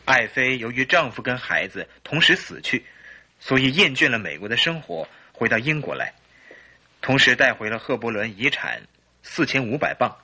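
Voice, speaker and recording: Troubled young husband, bright husky voice. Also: male voice, mid-range voice, very animated, moderate pace, heavily acted. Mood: polite, explanatory. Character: troubled 32-year-old English husband, a hop trader, polite and earnest. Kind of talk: Chinese radio drama acting.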